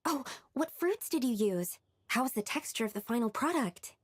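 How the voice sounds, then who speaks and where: A woman voicing an eager, inquisitive young female tinkerer in a video game, soft and breathy, full of questions. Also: on the high side, lively intonation, no echo, studio quality. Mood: curious. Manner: rapid-fire questioning.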